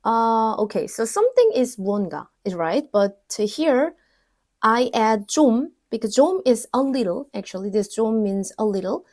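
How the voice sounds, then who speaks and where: Korean woman teaching her language, raised pitch. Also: very animated, darkish tone. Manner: explanatory, mixes English with Korean example phrases, repeats words slowly. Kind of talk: explaining grammar in a casual online lesson.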